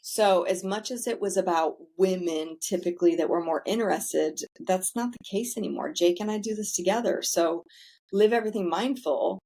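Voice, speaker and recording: A woman with a somewhat low voice, telling her story in a casual interview. She is candid and chatty, and drops the odd swear word without fuss. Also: normal speed, mellow. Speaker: podcast guest, founder of a self-care center.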